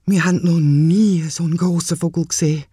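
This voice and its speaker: A Swiss German man voicing a timid young boy who keeps asking anxious, quick questions. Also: on the high side, steady tone, dark tone, husky, no echo, clean studio sound. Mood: scared.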